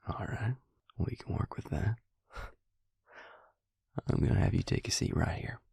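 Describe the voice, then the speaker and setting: A man playing a devious vampire posing as a suave dentist in an intimate audio roleplay, talking softly and reassuringly to the listener in a breathy, gravelly half-whisper. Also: lowish voice, dry recording, full character voice. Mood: smooth, welcoming. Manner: soft-spoken, slow, reassuring bedside patter. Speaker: dentist character. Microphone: close, dry microphone.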